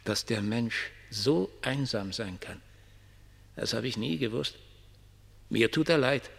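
An actor in a German radio play as a ragged homeless dreamer, mocking, prophetic and pitying, with theatrical, declamatory delivery. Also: male voice, mid-range voice, animated, slow pace, gravelly, full character voice. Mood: dreamy, pitying. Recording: long reverberant hall.

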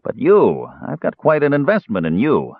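A man voicing a shrill, scheming male dwarf slave-owner who snarls, sneers and cackles. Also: wildly swooping pitch, moderate pace, slightly rough, dry recording, full character voice. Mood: snarling. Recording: dry, phone-like narrow band.

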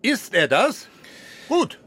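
An actor playing a genteel, authoritative senior police chief in a radio crime play. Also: male voice, high-pitched, huge pitch swings, slow, exaggerated voice. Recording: loud vehicle noise behind.

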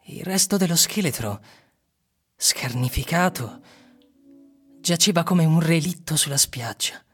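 A man's voice: on the high side, expressive, a little slow, fairly bright tone, slightly rough, exaggerated voice. An actor playing a man who solemnly reads an eerie literary tale aloud in a radio drama. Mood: solemn, descriptive. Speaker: narrator and actor. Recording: music under the voice.

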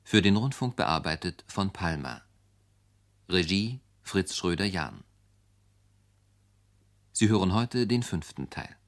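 A man's voice: deep voice, expressive, slow, long pauses. A man reading a formal scripted programme announcement as a radio announcer.

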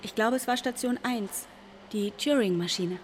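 An actress playing a curious, chatty bioinformatician in a radio drama. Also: female voice, a little high, moderate pace, slight background noise, close to an everyday voice. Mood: curious, polite.

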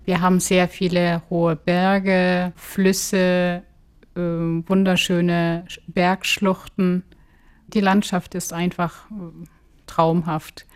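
A woman from Kyrgyzstan, giving halting interview answers as an expert. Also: lowish voice, level tone, clean tone. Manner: halting, hesitant delivery. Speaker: interviewed expert, legal anthropologist.

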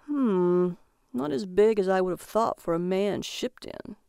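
A woman's voice: medium pitch, measured pace, gravelly, mellow, smooth, no echo, studio quality. Woman playing a childish, hapless husband, crackly voice. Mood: puzzled. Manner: boyish, whiny when hurt.

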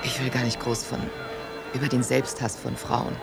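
A woman's voice: extremely low pitch, little pitch movement, normal speed, creaky. An actress playing an earnest, analytical woman who talks thoughtfully in a radio play, with music behind her. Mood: serious. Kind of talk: conversational radio play acting.

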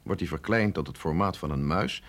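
An actor playing a sceptical, authoritative, middle-aged male scientist in a radio drama, explaining in a measured, insistent way. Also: extremely low pitch, a bit bright. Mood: grave, explaining. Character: sceptical, authoritative male scientist briefing an agent, no longer young. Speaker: scientist doctor.

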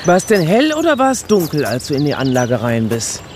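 A direct, probing woman in a radio drama, playing the loyal friend. Her speech is conversational, set amid clearly noisy rural ambience. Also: deep voice, wildly swooping pitch, normal speed. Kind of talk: naturalistic radio drama acting.

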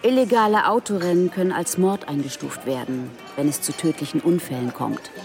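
A woman's voice: fairly low pitch, a little fast, darkish tone, soft background music, natural voice. A woman playing a businesslike police detective around forty in a radio drama, dry, formal and matter-of-fact. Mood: stern, insistent. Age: 40s.

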